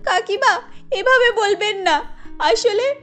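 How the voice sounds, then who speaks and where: A woman's voice: very high voice, takes it slowly, a bit bright, faint music behind, heavily acted. A woman voicing an anxious, meek young daughter-in-law in a cartoon, timid and pleading. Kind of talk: cartoon story dialogue.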